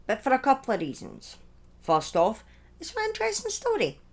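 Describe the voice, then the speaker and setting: A woman narrates true crime as if chatting to followers. Her intonation is very lively, moving widely up and down. She breaks her phrases up and steps out of the script for informal, opinionated asides. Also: high-pitched, fairly quick. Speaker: true-crime storyteller, streamer. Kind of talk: conversational true-crime storytelling, part scripted.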